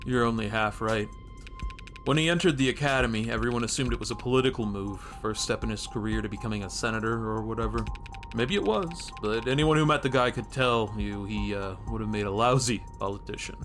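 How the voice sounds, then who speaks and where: A man's voice: lowish voice, a little fast, fairly bright tone, soft background music, voice pushed down. A man voicing a blunt, world-weary streetwise cop in a noir game, with a matter-of-fact, wry delivery. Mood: wry, explanatory. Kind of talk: reading noir game dialogue aloud.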